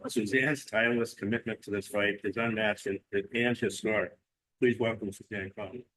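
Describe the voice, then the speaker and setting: Low voice guy running the meeting, polite formal intros. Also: fairly even intonation, moderate pace, a bit bright, rough recording. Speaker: meeting chair. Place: library meeting room.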